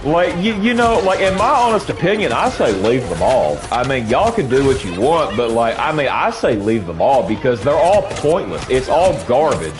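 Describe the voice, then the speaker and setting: A man's voice: mid-range voice, big pitch swings, normal speed, few pauses. A young man streaming while gaming, ranting casually to his chat. He rambles, repeats himself, says bro a lot, and sounds sniffly from allergies.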